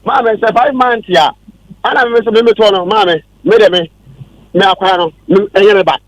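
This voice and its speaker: Male Ghanaian radio participant, high voice, quick heated replies with halting repetitions of words. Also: dry recording, rough recording. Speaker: participant questioned on a radio show.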